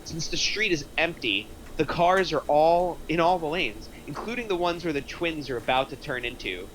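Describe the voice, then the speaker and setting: A man's voice: fairly high pitch, animated, moderate pace, fairly bright tone, slight background noise, rough recording. A man reading out screenplay stage directions as a narrator in a scripted table read.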